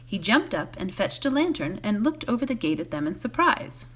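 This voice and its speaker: Woman reading a bedtime story, animated intonation. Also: on the high side, moderate pace, slightly rough. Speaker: volunteer story reader. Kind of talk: expressive bedtime novel narration.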